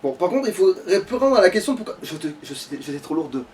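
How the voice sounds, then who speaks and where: A man's voice: high-pitched, very animated, brisk, far from the mic. A man in casual gaming chat, grumbling and swearing crudely as he plays. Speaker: gamer playing on a let's play.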